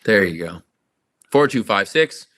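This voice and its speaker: A man hosting a live chat with viewers, his voice a little raspy and quite bright and forward. He talks in a loose, rambling way, full of fillers and restarts, pitched somewhat low. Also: lively intonation, unhurried. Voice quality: slightly raspy. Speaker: livestream host.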